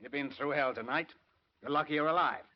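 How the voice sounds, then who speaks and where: A man's voice: on the high side, a little fast, a little hiss or hum, close to an everyday voice. An actor with an American accent playing a bossy, fatherly newspaper chief in an old radio drama, brash and slangy. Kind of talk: 1940s-50s radio acting. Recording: muffled old radio recording.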